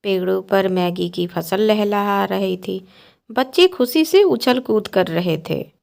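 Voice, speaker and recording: A woman's voice: mid-range voice, animated, slow, creaky, darkish tone. A woman narrating a scripted cartoon bedtime story as the storyteller.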